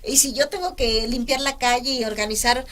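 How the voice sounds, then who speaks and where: A woman's voice: a little high, a little fast, fairly bright tone. A woman journalist from Veracruz, speaking earnestly as an interview guest and repeating words for emphasis. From Veracruz, Mexico. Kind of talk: conversational interview.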